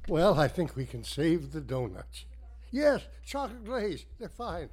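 Bumbling, avuncular male colleague, quaint, mild, raised pitch, audio drama. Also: big pitch swings, unhurried, darkish tone. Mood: sheepish. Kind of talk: satirical audio drama acting.

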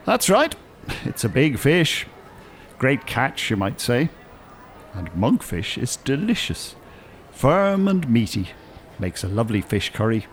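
An actor playing an older retired teacher in a radio drama, with precise formal wording and dry sarcasm. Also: male voice, medium pitch, very animated, takes it slowly, long pauses, slight background noise. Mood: smug, instructive. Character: prim, sardonic retired teacher, older man.

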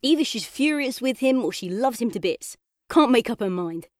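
A woman reading a children's audiobook as a chatty, earnest young boy telling his own story, expressive and colloquial. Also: on the high side, normal speed, no echo, clean studio sound, pitched above the performer's own voice, close to an everyday voice. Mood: chatty, amused. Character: boy narrator in a coma, chatty, frustrated, yearning. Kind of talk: first-person children's audiobook narration.

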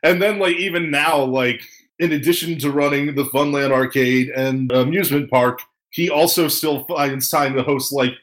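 A man's voice: a little high, fairly even intonation, normal speed, a bit bright. A man hosting a fan podcast in casual chat, enthusiastic and prone to swearing. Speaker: podcast host.

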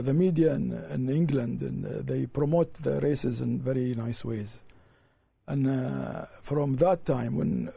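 A racehorse owner, a man, answering interview questions in a studio in halting, non-native English phrasing. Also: mid-range voice, vocal fry, dark tone, husky, no room sound. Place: radio studio.

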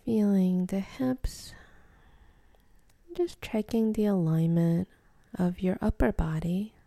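A woman guides a meditation in a very dark, mellow voice with a gravelly fry at the edges. She speaks softly, close to a whisper, and takes her time with gentle, soothing instructions, leaving generous space between phrases. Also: medium pitch, no echo. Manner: soothing, gentle, unhurried, with long pauses.

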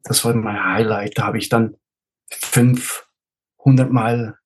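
A man's voice: on the low side, steady tone, a little slow, a little hiss or hum, low-quality recording. A man with a Swiss German accent chatting casually, searching for words and mixing in bits of English. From Switzerland. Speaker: interview guest, ultrarunner and race director. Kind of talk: casual chat, telling anecdotes.

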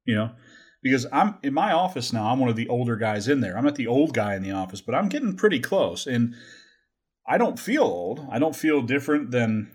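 A man's voice: mid-range voice, sing-song, a little fast, husky. A man in his forties chatting casually as a podcast host, rambling and joking with some crude language.

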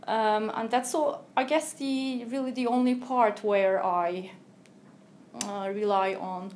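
A woman from Turkey, a researcher guest answering interview questions in a careful, explanatory way with thoughtful pauses. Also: fairly high pitch, darkish tone, slight background noise.

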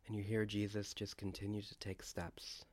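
A man leading a guided scripture meditation, speaking in a deliberate, reverent way with pauses. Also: lowish voice, completely flat delivery, darkish tone. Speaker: guided prayer leader.

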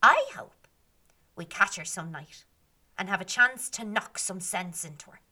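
Scolding wee fairies barking orders, a woman doing them, sharp bright tone. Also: mid-range voice, expressive, measured pace, full character voice. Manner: scolding and commanding. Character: scolding band of small fairy folk.

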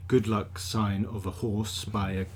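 A man in his forties, a potter, answering interview questions outdoors in a halting, thoughtful way with many restarts. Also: on the low side, level tone, a little mellow. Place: outdoors by a kiln.

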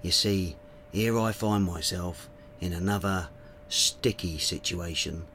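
A menacing radio drama villain with a deep voice. He is falsely courteous and insinuating, a blackmailer toying with his mark. A low rumble sits faintly underneath. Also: slow pace, a bit bright, heavily acted. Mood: sly, threatening. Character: smooth, menacing male blackmailer, mockingly polite. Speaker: actor in audio drama.

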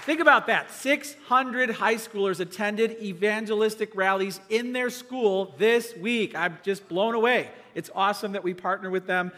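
A man speaking as a pastor, bright with excitement and fairly high in pitch for a man. He talks from the pulpit with plenty of fillers. A crowd can be heard clearly behind him. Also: moderate pace, few pauses, slight background noise. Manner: upbeat and enthusiastic, with filler "um" and "uh". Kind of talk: church announcements from the pulpit.

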